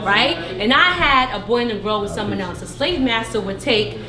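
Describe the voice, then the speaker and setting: A woman on a live panel, talking in an animated, storytelling way and checking often for agreement. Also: medium pitch, moderate pace. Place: echoey art venue, live taping. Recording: roomy live recording with music behind.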